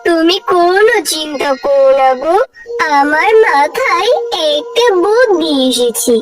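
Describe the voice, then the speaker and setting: A woman's voice: very high-pitched, lively intonation, a little slow. A woman voicing a sly, scheming village wife, a cartoon villainess with a coaxing, conspiratorial manner. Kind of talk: cartoon dialogue. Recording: distant voice under loud music.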